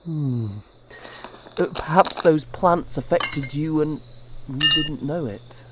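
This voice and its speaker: An actor playing a gentle, guilt-ridden older brother in a fantasy audio drama, speaking in a hesitant, earnest way. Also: male voice, a little high, slow pace. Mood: caring, teasing. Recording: insect ambience with steady background noise.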